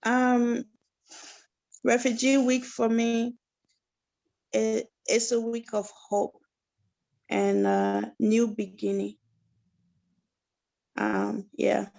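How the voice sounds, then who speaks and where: A woman's voice: on the high side, flat delivery, drawn-out words, creaky, pauses often, a little mellow, muffled recording. A woman from West Africa answering hesitantly and briefly as a webinar guest over a video call.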